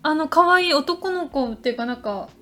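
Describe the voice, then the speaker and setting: High-voiced, eager woman podcaster over faint music. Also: expressive, moderate pace. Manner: eager, insistent, talkative. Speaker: podcast co-host. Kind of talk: casual chat.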